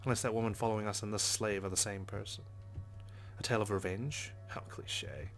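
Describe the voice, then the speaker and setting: A man's voice: fairly low pitch, fairly even intonation, brisk. A man reading visual novel narration aloud dramatically as a let's play host, with brief casual asides. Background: game background music.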